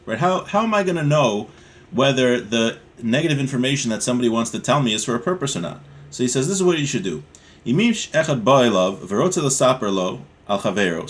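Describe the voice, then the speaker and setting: A man teaching a religious law text, reading passages in Hebrew and explaining them in casual, conversational speech. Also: mid-range voice. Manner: reads Hebrew aloud then translates in casual speech. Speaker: Torah teacher.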